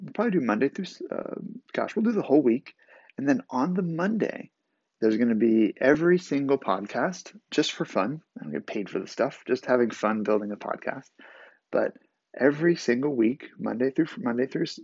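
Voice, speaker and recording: Raspy, gravelly podcast host, low voice. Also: male voice, darkish tone, dry recording, dull audio. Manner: rambling, with fillers and restarts. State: groggy after a vaccine. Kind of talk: casual solo podcast talk.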